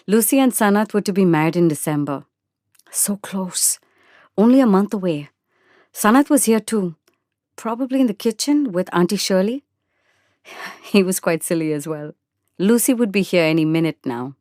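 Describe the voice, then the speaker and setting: A woman narrating a first-person short story in an expressive, measured, dramatic way. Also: medium pitch, no room sound, studio quality. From India. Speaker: narrator and actor.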